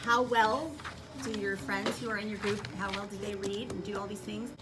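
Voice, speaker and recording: Casting: female elementary teacher, mid-pitched, instructing a class, recorded from across a noisy room. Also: level tone, moderate pace. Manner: instructive, checks understanding with questions. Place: school classroom. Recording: distant mic, noisy classroom.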